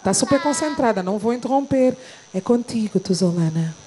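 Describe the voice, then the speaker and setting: A woman's voice: on the high side, lively intonation, fairly quick, mellow, a little hiss or hum. A woman, hosting a live children's segment in a TV studio, effusive and coaxing with the kids. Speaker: TV host. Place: bright TV studio.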